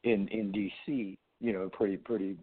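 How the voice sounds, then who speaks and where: A man with a sore, froggy throat calls in on a thin phone line, his voice sitting fairly low. He rambles, restarting his sentences and leaning on fillers as he makes his point. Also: level tone, fairly quick. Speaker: caller, fellow show host.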